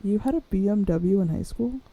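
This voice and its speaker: A woman in her twenties co-hosting a podcast, chatting in a rambling, animated way, her voice raspy today. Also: medium pitch, fairly quick, no room sound. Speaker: podcast co-host. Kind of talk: casual chat.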